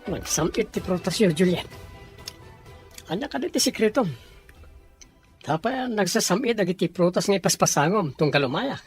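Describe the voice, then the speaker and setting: A man acting a devoted young boyfriend in a radio drama, relaxed and teasing. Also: sits high, normal speed, long pauses, faint music behind. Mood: easygoing.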